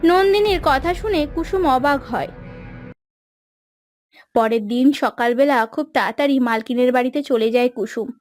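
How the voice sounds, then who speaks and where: Female cartoon narrator: high voice, scripted storytelling, faint music bed. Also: normal speed.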